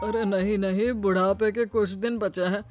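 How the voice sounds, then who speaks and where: A woman voicing a calm old father-in-law. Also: mid-range voice, moderate pace, over music, close to an everyday voice. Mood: soothing. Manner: soothing, pleading for peace. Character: calm peace-loving elderly father-in-law.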